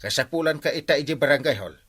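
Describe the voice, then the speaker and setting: A man's voice: fairly high pitch, animated, fast talker, a bit bright, breathy edge. A man with an Ilocano accent playing an exasperated village captain and scolding uncle in a radio comedy drama, exclaiming and nagging.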